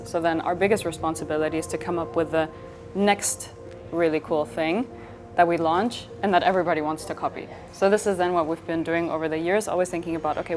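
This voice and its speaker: A woman from Switzerland talking as a company founder in an interview, telling stories in a casual, conversational way and quoting imagined dialogue. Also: fairly low pitch. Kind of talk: interview storytelling in casual speech. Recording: clear background music.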